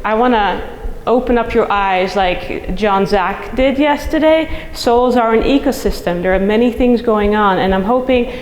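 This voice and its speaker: A middle-aged woman with a Dutch accent speaks with eager, chatty energy, often breaking off with fillers and restarts as she presents. Her voice sits a little high, and it rings out through a large reverberant hall with a faint hiss underneath. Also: a little slow. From Netherlands. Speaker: soil scientist giving a symposium talk. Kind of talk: conference presentation. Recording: echoey hall recording, faint noise.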